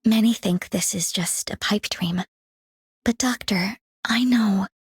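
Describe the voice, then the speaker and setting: An actress voicing a soft, compassionate, idealistic woman in a game, with a breathy, husky voice. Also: medium pitch, takes it slowly, no room sound, clean studio sound, full character voice. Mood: tender, hopeful. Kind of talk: scripted game character voice line.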